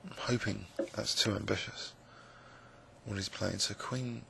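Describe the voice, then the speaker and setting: Croaky man thinking aloud over chess. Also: lowish voice, fairly even intonation, measured pace, bright, forceful tone, a little hiss or hum, rough recording. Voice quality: gravelly. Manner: musing and hesitant, with many fillers. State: croaky voice, clearing throat. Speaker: chess commentator playing online blitz. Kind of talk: live game commentary, thinking aloud.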